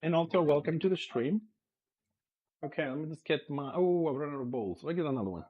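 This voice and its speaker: A Greek man with a slight lisp and a fairly high voice chats easily on a live stream while he cooks at home. His talk is loose and friendly, and he laughs a lot between thoughts. Greek is his first language, and his lisp shows in English. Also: lively intonation, brisk, breathy edge, dull audio. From Greece. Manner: easygoing and chatty, laughs often. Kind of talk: casual live-stream chat while cooking. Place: home kitchen.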